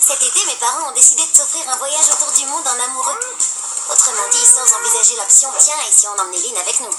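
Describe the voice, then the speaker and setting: A high-pitched teenage schoolgirl from a cartoon, acted by a woman, sure of herself and full of plans. She talks in earnest, wordy explanations like a model pupil. The sound is roomy, with clear music underneath. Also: close to an everyday voice. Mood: upbeat, self-introducing. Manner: earnest, wordy, self-assured. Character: chatty, ambitious, studious sixteen-year-old high school girl. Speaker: main character and narrator. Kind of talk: animated series dialogue and first-person narration.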